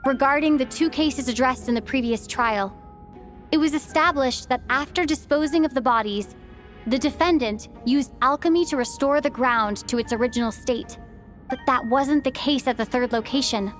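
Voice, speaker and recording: Woman acting a high-voiced, earnest young traveler. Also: moderate pace, natural voice. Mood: earnest, reasoned. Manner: reflective, careful, earnest. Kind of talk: dramatic video game voice acting. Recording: music behind the voice.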